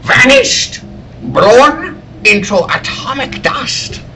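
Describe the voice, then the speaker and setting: A man's voice: very high voice, very animated, drawn-out words, loud music behind the voice, heavily acted. A man playing an earnest, anxious scientist-inventor in 1940s radio serial style, theatrical and emphatic, at a live table read. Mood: proud, excited.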